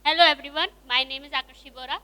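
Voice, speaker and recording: A young woman giving a brisk, short self-introduction. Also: high voice, fairly even intonation, pushed and bright. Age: young adult.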